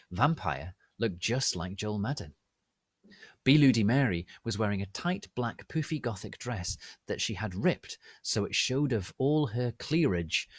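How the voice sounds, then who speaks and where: A man narrating fan fiction as a theatrical, deliberate dramatic reading. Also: on the low side, no room sound, studio quality.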